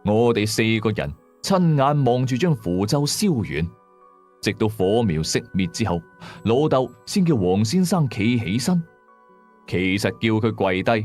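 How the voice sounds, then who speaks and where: A man's voice: medium pitch, a little mellow. A man with a Hong Kong Cantonese accent narrating a first-person horror story as a storyteller.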